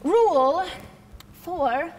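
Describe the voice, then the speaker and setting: High, swooping, exasperated officious messenger played by a woman. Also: expressive, very slow, a little mellow, highs cut off, exaggerated voice. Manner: theatrical, indignant, recites rules pompously. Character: personified idea, officious, exasperated, rule-quoting female messenger. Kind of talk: comic stage play acting. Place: theatre stage at a play festival. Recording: echoey stage hall, clear background noise.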